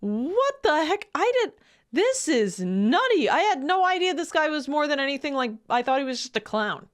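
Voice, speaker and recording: A woman streamer talks over a video she is watching, her voice sitting a little high. She jumps in with excitable, jokey asides and swears freely in casual commentary. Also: normal speed.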